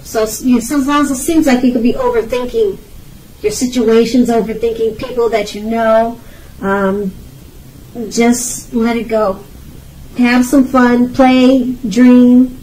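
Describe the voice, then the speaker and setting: A woman's voice: a little high, fairly even intonation, a little slow, warm tone, slight background noise. A woman giving an unscripted tarot reading, speaking to the viewer in a reassuring, advising way with hesitations and repeated phrases. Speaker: tarot card reader. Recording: voice distant from the mic.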